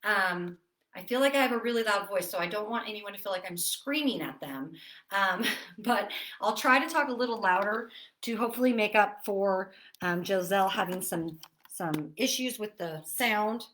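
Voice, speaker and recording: A woman fitness instructor leading a seated online exercise class, chatty with fillers, then giving calm breathing cues. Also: medium pitch, moderate pace, slight background noise. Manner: chatty and apologetic, with many fillers. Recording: roomy echo, distant from mic.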